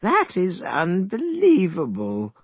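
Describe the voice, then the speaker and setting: A man voicing a frightened, tearful old village man who speaks slowly in a pleading, lamenting way. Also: high-pitched, huge pitch swings, slightly rough, no echo, dull audio, pitched above the performer's own voice, full character voice. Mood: amazed.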